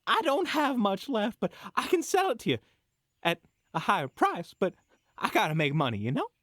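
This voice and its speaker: A man playing a desperate, chatty male merchant in a fantasy audio roleplay, with a folksy, excitable, pleading way of talking. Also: high-pitched, moderate pace, no room sound, studio quality, exaggerated voice. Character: desperate, chatty male merchant who was just robbed.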